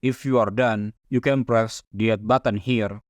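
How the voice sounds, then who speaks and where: A man whose first language is Indonesian, calmly narrating a step-by-step software tutorial over a screen recording. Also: lowish voice, moderate pace, no echo. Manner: calm, methodical step-by-step explaining.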